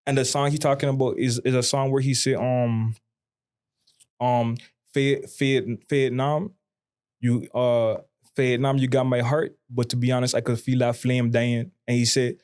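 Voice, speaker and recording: A man's voice: mid-range voice, little pitch movement, measured pace, darkish tone, clean tone. A man from Saint Martin with a Caribbean accent, chatting casually and reflectively in informal slang as a podcast co-host. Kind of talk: casual conversation.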